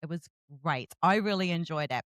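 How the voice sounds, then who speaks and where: A woman hosting a casual book interview, effusive and expressive as she chats. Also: lowish voice, normal speed, dry recording, clean studio sound. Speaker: interviewer, book show host. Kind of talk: casual enthusiastic interview chat.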